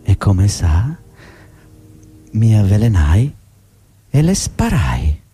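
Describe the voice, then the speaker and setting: An actor playing a vengeful, desperate, unhinged middle-aged employee in a theatrical radio drama, speaking formally in halting, repeated phrases. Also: male voice, on the low side, sing-song, warm tone, slightly rough, no room sound, full character voice. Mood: tormented. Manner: halting, repetitive, formal address, erratic. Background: music.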